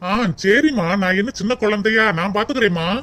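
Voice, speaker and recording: A man plays an easygoing grown son. He talks gently and respectfully with a Tamil Nadu accent, in the manner of a family comedy skit. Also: high voice, a little fast, a little hiss or hum. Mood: dutiful, reassuring. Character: dutiful, easygoing grown son leaving for work.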